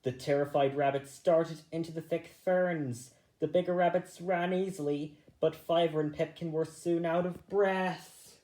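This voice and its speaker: A man reading a children's story aloud, a little halting, going back over misread phrases. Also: fairly high pitch, steady tone, mellow, reverberant. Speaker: storybook reader.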